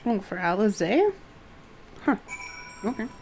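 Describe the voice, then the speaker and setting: Creaky-voiced woman streaming a game. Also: mid-range voice, very animated, unhurried, a little mellow, faint music behind, low-quality recording. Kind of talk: live gameplay commentary, reading dialogue aloud.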